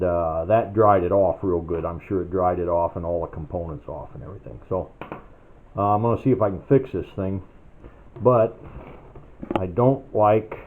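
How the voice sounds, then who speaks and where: A man's voice: low voice, slight background noise. A man giving a casual, unscripted repair demonstration as an amateur hobbyist, talking in a folksy, hesitant way as he thinks aloud. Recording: tinny phone-like band-limited sound.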